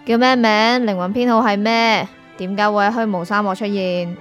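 Actress as stern female spirit-world prosecutor, radio drama; curt, impatient, Hong Kong Cantonese, mid-pitched, light music bed. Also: steady tone, moderate pace, natural voice. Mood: curt, scornful. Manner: curt, authoritative. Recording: music behind.

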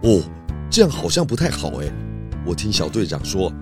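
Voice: low-pitched, sing-song, normal speed, music in the background. An actor voicing a genial, curious male pig detective in a children's audio detective drama, with soothing, chuckling little interjections. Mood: concerned, explaining.

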